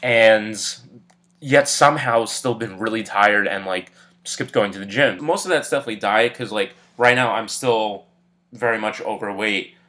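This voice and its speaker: A man in his early twenties, a little congested from a cold, talking candidly in a relaxed personal vlog with lots of fillers. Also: fairly low pitch, moderate pace. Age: 20s. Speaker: vlogger.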